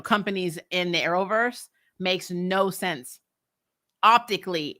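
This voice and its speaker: Casting: female recap host, bright forceful voice, candid and sassy, informal fan chat with emphatic opinions. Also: medium pitch. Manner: candid, sassy, emphatic, colloquial with casual swearing. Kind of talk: casual fan commentary and chat.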